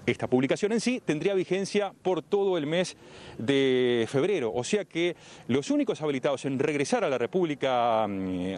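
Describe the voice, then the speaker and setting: Male field reporter in his 30s, fairly high voice, halting live report on a handheld mic outdoors. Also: darkish tone. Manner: hesitant, many fillers and restarts. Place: outdoors at a border crossing at night.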